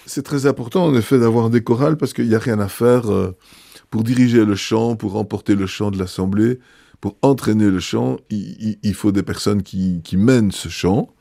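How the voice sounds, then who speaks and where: A man, a bishop answering interview questions in a measured way, pausing often with fillers and restarts. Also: lowish voice, creaky, dark tone, no echo, studio quality.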